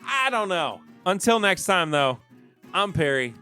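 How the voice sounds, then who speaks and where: A man's voice: high-pitched, sing-song, measured pace, sharp tone, faint music behind. A man hosting a podcast, wrapping up a solo episode in a rambling, chatty way with joking asides. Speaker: podcast host. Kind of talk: casual solo podcast outro.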